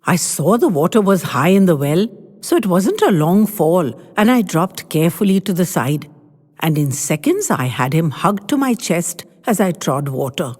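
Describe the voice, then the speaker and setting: A woman's voice: fairly low pitch, sing-song, moderate pace, darkish tone, in a large hall. A woman voicing a feisty, brave older grandmother who teases her husband, in a spirited way. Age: 60s. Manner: spirited, teasing.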